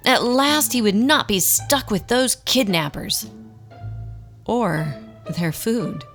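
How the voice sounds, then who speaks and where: A woman narrating a scripted radio drama in an expressive storybook style. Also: on the high side, slow, faint music behind.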